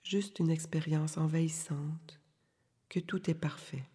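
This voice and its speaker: A woman leading a guided yoga nidra meditation, giving calm, soothing, unhurried instructions with long pauses in a soft, breathy voice. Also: deep voice. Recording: long reverberant hall-like echo.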